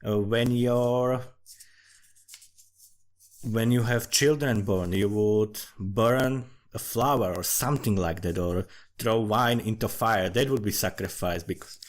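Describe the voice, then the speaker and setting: Low-voiced man with a Slavic accent, rambling casually. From Slavic country. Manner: informal, frequently swearing, halting non-native English phrasing. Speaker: amateur writer.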